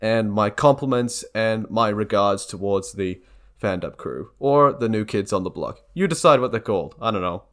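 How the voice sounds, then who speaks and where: A young man talking casually and earnestly in a rambling, informal way, as a video creator addressing viewers. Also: fairly low pitch. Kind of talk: casual vlog talk to camera or mic.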